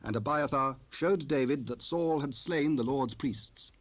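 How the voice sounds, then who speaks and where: A man narrating a dramatized scripture recording in a formal, measured storytelling manner. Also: mid-range voice, lightly acted.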